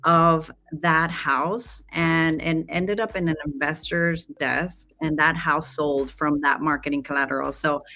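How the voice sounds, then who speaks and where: Low-voiced, bright, animated woman storyteller. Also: unhurried, few pauses. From Guatemala, raised in Los Angeles. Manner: animated, acts out quoted dialogue. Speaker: marketing guest. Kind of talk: casual storytelling interview.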